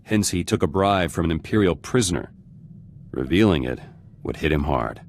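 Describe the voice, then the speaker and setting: A man's voice: low voice, expressive, normal speed, gravelly, a little hiss or hum. A man playing an embittered, vengeful resistance fighter in a video game, speaking in terse, clipped fragments with dropped words. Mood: terse, guarded. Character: embittered male resistance fighter turned politician, hard and vengeful. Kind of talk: video game dramatic voice acting.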